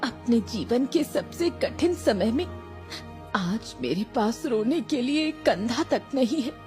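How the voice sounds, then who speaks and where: A woman plays a weary, grieving widowed mother in a cartoon horror story. She speaks slowly and with feeling, gentle toward her child. Music runs under the voice. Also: on the high side, expressive. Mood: despairing.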